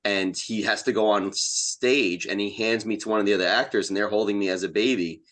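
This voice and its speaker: A man's voice: fairly low pitch, fairly even intonation, a little fast, a bit bright. An American man in his fifties chatting casually and earnestly as an enthusiastic interview guest. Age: 50s. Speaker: community theater actor and playwright, podcast guest.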